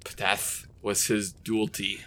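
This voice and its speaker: A man's voice: fairly low pitch, little pitch movement, unhurried, pushed and bright. A man playing the earnest narrator of a clumsy action story, reading in a stilted, stumbling way. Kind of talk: dramatic reading of amateur fiction.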